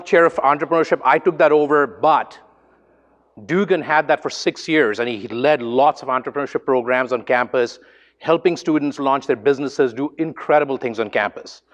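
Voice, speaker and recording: A man's voice: mid-range voice, in a large hall, highs cut off. A man who is a professor, hosting an event and introducing the speakers off the cuff and with enthusiasm. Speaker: host and professor of entrepreneurship. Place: large lecture hall, amplified.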